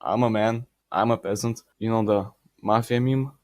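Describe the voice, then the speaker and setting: A man's voice: on the low side, fairly even intonation, normal speed, a little mellow, no echo, lightly acted. A man playing a brash, selfish peasant, reading questions and answering in cocky, blunt slang. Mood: boastful. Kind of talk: in-character answers to read-out questions.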